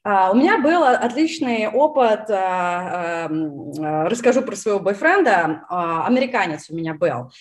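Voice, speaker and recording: A woman's voice: medium pitch, animated, normal speed, few pauses. A woman whose first language is Russian, chatting casually with many fillers and restarts. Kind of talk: casual conversation.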